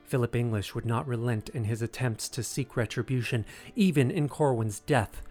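A man narrating scripted history as a measured, dramatic storyteller. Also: mid-range voice, darkish tone. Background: music.

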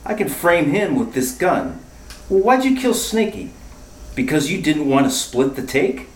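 An actor playing a breezy, sly, wry married detective in a retro radio mystery. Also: male voice, fairly high pitch, very animated, measured pace. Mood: sly, tough. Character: wisecracking married male amateur sleuth, breezy and sly. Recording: roomy, distant radio-play recording with music.

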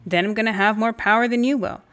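A woman's voice: fairly high pitch, steady tone, fast, a bit bright, clean tone, close to an everyday voice. A woman acting as an earnest, indignant friend talking emphatically over coffee in a naturalistic audio drama. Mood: frustrated.

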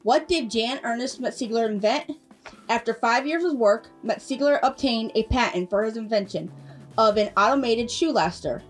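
A woman's voice: fairly high pitch, normal speed. A woman reading a scripted factual segment aloud, a little away from the microphone. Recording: distant mic with faint music.

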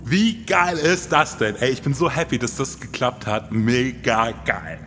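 A man's voice: mid-range voice, animated, measured pace, fairly bright tone. A man in his forties co-hosting a scripted, performative podcast in an enthusiastic, colloquial manner. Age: 40s. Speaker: podcast co-host. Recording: long reverb, music underneath.

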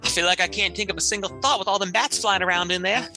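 A woman's voice: mid-range voice, quick pace, over music, lightly acted. A woman playing a folksy, exasperated person plagued by bats in the head, in an improvised spoof radio commercial. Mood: befuddled. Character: frantic bat-plagued folksy sufferer scolding bats in head.

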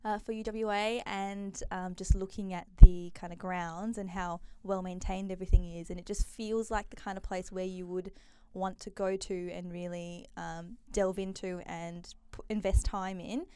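A woman's voice: medium pitch, little pitch movement, normal speed, warm tone, clear, resonant tone, no echo. A young woman from Malaysia, chatting as an interview guest, with frequent um and uh fillers. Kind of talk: casual interview conversation.

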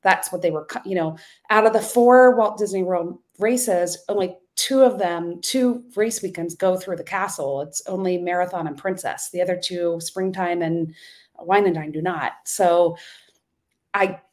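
A woman's voice: lowish voice, measured pace, a little mellow. A woman co-hosting a podcast, telling a story in casual chat with many ums and restarts. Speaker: podcast co-host.

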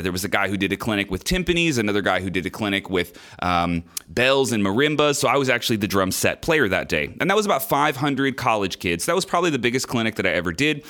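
A man's voice: medium pitch, pushed and bright. A man hosting a podcast, talking in a candid, casual way and sounding nasal from a sinus infection, with many fillers and repeated words. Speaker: podcast host and drummer.

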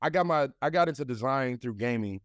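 A man's voice: medium pitch, expressive, a little fast, dry recording. A man in his thirties from the United States talks as an interview guest in a mid-range voice. He rambles and circles back, full of "you know" and "like." The sound is close and dry, with no room around him. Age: 30s. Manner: loose, rambling, heavy with fillers like "you know" and "like". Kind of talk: casual conversational interview.

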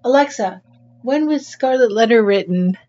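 A woman's voice: fairly high pitch, animated, moderate pace, warm tone, muffled recording. A woman co-hosting a podcast in casual, agreeable conversation. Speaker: podcast co-host.

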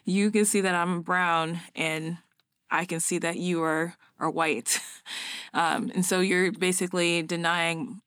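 Flat-toned earnest woman. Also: lowish voice, measured pace, smooth, dry recording, studio quality. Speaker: podcast guest. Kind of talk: conversational discussion.

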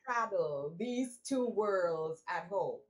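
A woman from Trinidad, a guest telling her life story in a relaxed, reflective way with many restarts. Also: medium pitch, slow, low-quality recording. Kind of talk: casual interview conversation.

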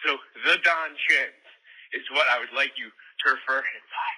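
Bright, forceful man leaving a rambling voicemail. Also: medium pitch, moderate pace, breathy edge, low-quality recording. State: walking uphill. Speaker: voicemail caller. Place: outdoors, walking on hilly streets. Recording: played-back voicemail message.

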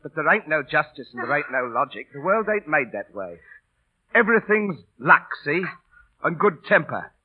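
An actor in an old radio drama playing a volatile, streetwise street performer with a rough voice and bossy, ungrammatical talk. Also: male voice, fairly high pitch, very animated, normal speed. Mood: worldly, philosophical. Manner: bossy, emphatic, ungrammatical working-class talk. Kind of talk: 1930s-40s radio drama acting. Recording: tinny, band-limited vintage radio broadcast.

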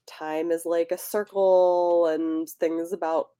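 Woman podcast cohost, kinda low voice, chatty book talk, thinks out loud and rambles a bit. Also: flat delivery, slow pace, clear, resonant tone. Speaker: podcast co-host. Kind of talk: casual book discussion.

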